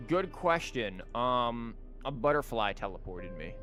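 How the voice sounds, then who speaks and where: A man's voice: mid-range voice, sing-song, unhurried. A man giving casual, rambling and wry commentary as he livestreams a video game. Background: game music.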